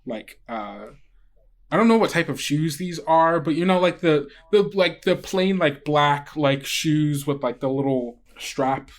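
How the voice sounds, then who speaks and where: A man running a tabletop game as game master, narrating off the cuff in a halting, conversational way. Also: fairly high pitch, expressive.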